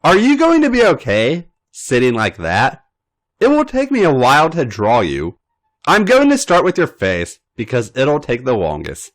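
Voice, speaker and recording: A man reading a story aloud and voicing a nervous young woman in a high, shy falsetto. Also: wildly swooping pitch, moderate pace. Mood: gentle.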